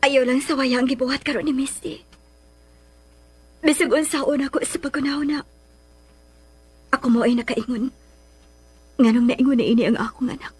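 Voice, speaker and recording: A woman's voice: high voice, fairly even intonation, normal speed, long pauses, a little mellow, breathy edge, no room sound. An actress with a Filipino accent playing a troubled mother torn by love in a radio melodrama, emotional and pleading. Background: music.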